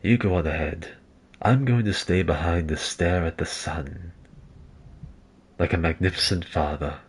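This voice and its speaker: A man's voice: low voice, measured pace, husky. A man doing a muffled, earnest knight. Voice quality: muffled by a hand over the mouth, like inside a helmet. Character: cheerful chivalrous male knight, sentimental, earnest.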